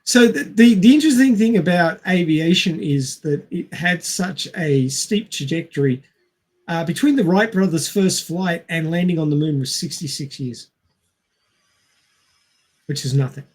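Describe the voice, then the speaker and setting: A man's voice: a little high, lively intonation, normal speed. A man talking casually on a discussion podcast, explaining things in a dry, understated way. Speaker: podcast co-host or guest.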